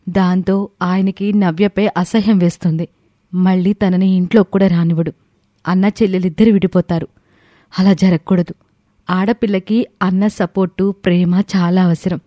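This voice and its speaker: A woman playing a thoughtful, protective young wife in an audio story, speaking in an earnest, measured way. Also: medium pitch, dark tone, no room sound, studio quality, natural voice. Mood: worried, reflective. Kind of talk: scripted audio story dialogue.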